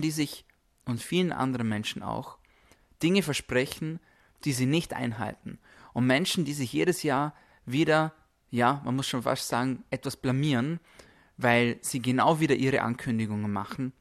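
A man hosts a motivational podcast on his own. His voice sits at a medium pitch. He speaks emphatically, leaning on rhetorical questions and repetition. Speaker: podcast host, health coach.

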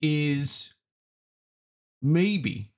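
A man's voice: on the high side, animated. A man hosting a sports podcast, giving opinionated commentary in an emphatic way and repeating phrases for effect. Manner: emphatic, deliberate repetition for effect.

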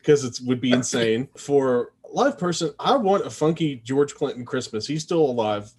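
A man's voice: medium pitch, expressive, moderate pace, darkish tone, breathy edge. A man chatting casually as part of a group podcast conversation. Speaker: podcast participant.

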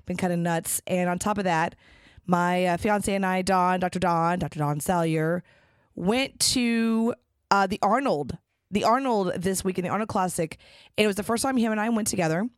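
A woman hosting a podcast in a casual, rambling monologue, her voice raspy and hoarse from being sick. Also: lowish voice, moderate pace, no echo, studio quality. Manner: rambling and chatty, with frequent restarts. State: hoarse from a respiratory infection. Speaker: podcast host.

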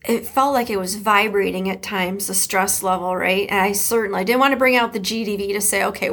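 A middle-aged woman from Detroit with a Midwest accent, hosting her podcast in a chatty, emphatic way. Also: medium pitch. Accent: Detroit Midwest American. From Detroit, USA. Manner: warm, chatty, emphatic, with laughs and shout-outs. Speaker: podcast host. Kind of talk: casual solo podcast talk.

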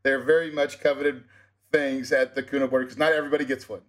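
A man's voice: medium pitch, quick pace. A man hosting a podcast interview as a real estate coach, talking energetically and persuasively and checking in with his guest often. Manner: energetic and persuasive, tags with "right?".

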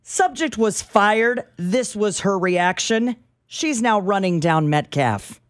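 Deadpan woman reading scanner reports. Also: medium pitch, slow pace, no room sound. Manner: dry, matter-of-fact dispatcher delivery. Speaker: radio show co-host. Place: radio studio. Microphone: studio microphone.

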